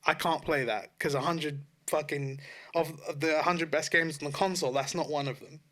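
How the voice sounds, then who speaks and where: A man with a fairly high, very bright voice talks in a casual podcast style. He is informal and loose-tongued, often rephrasing himself as he makes his point. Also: steady tone, normal speed. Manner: informal, sweary, self-correcting. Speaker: podcast co-host. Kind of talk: casual opinionated gaming podcast chat.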